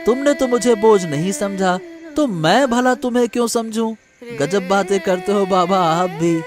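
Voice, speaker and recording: A man playing a gentle, devoted grown son in a cartoon moral story, speaking earnestly and affectionately. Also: sits high, normal speed, over music, lightly acted.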